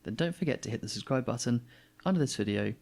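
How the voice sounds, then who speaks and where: A man's voice: medium pitch, expressive, quick pace, vocal fry, a little mellow. A man reading a children's story aloud expressively, as the host of a read-aloud. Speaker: storybook reader, channel host.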